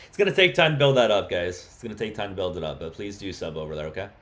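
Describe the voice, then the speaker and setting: A man with a lowish voice runs a casual live stream, greeting viewers and taking callers. He talks in an easy, chatty way but gets heated and insistent when he argues. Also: animated, quick pace, fairly bright tone. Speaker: livestream host.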